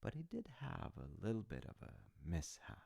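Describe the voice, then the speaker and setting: A man playing an easygoing dad in an audio roleplay, telling a story conversationally with wry, hesitant asides and a gravelly voice. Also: sits low, huge pitch swings, normal speed, warm tone, heavily acted. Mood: amused, storytelling. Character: easygoing dad telling his grown child Norse myths.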